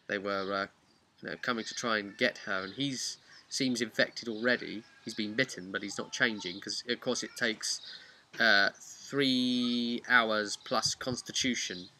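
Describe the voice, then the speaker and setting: A man giving a casual, rambling recap of a tabletop roleplay session as the game master, telling it in an animated way. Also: fairly low pitch, unhurried, a little hiss or hum. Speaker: game master and vlog host.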